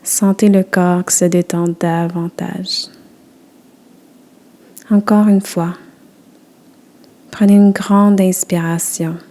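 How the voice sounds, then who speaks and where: Female relaxation guide, warm mellow tone, gentle soothing delivery, very unhurried. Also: lowish voice, level tone. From Quebec. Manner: slow, soft, soothing instructions. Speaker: yoga nidra guide.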